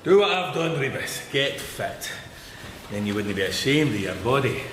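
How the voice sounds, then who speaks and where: An actor playing a menacing, swaggering Scottish crime boss in a radio drama, with a taunting, commanding manner. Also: male voice, mid-range voice, sing-song, normal speed, a bit bright, poor audio. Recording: noticeable background noise.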